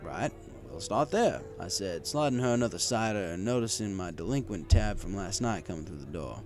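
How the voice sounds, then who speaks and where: A man's voice: lowish voice, brisk, darkish tone. A man reading a first-person story in a wry, conversational way. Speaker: story reader. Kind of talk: first-person story narration with acted dialogue.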